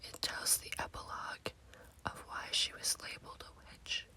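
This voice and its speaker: A woman hosting a spooky ASMR story series, speaking in a breathy, hushed whisper with a slow, deliberate delivery.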